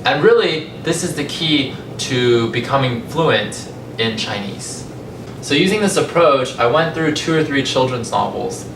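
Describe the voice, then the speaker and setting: A young American man speaks to listeners as a storyteller, conversational and measured, pausing deliberately between phrases. His medium-pitched voice comes from some distance in a reverberant space, over loud rumbling noise. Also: expressive, vocal fry. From California, United States. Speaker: language company founder giving a talk. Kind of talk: public talk, personal storytelling. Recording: echoey, distant mic, rumbling noisy room.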